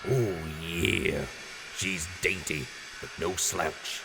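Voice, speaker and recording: A very low, gravelly voice in a noisy, echoing audio drama mix. a generous, boisterous wasteland quartermaster who talks up gear like a hyped showman. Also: drawn-out words, heavily acted. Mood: proud, easygoing. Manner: hyped showman with booming catchphrase outbursts. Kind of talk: post-apocalyptic audio drama acting.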